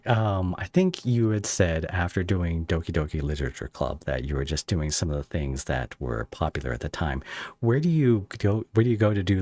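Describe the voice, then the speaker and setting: A man hosting a casual podcast interview, asking halting, rambling questions that double back on themselves. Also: low-pitched, a little mellow, no echo.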